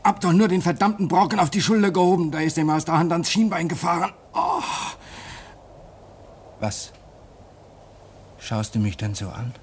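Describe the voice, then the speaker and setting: Radio play: male actor as a gruff wounded crewman, animated pitch. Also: normal speed, long pauses, slight background noise, lightly acted. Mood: surly. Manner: gruff, surly.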